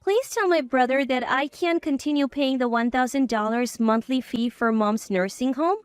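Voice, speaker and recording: A woman's voice: sits high, moderate pace, clean tone, natural voice. A woman voicing an upset, grieving sister-in-law in quoted dialogue within a narrated story. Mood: earnest.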